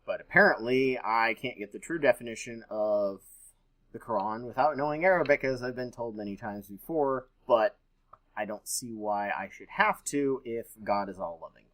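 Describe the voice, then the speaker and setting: A man's voice: medium pitch, moderate pace, a bit bright, poor audio. Skeptical man reading aloud and commenting. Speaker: host.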